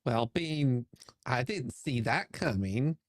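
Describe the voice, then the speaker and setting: A woman's voice: a bass voice, animated, slow pace, no room sound, studio quality. A woman co-reader giving short, casual interjections during a story reading.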